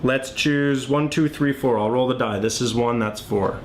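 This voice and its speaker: A man with a mid-range voice walks through a board game in a casual, unscripted way. He thinks aloud as he demonstrates each move, like a designer teaching players. The recording has noticeable noise and a low rumble. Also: normal speed. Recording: clearly noisy, low rumble.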